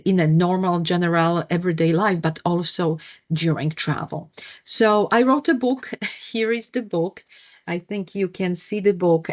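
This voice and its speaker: Brief: Polish-accented woman, middle-aged, wellness coach presenting over a video call, hesitant with fillers and restarts. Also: lowish voice. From Poland. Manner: frequent fillers and self-corrections.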